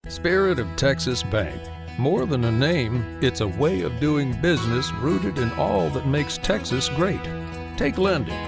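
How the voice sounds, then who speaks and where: A man's voice: mid-range voice, sing-song, measured pace, vocal fry. A man reading a scripted radio advertisement for a bank as the announcer. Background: guitar music.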